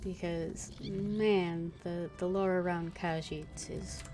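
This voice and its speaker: Slow, musing woman game streamer. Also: lowish voice, fairly even intonation, warm tone, a little hiss or hum. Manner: musing, hesitant, trailing off. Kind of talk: casual gameplay commentary, voicing character lines aloud.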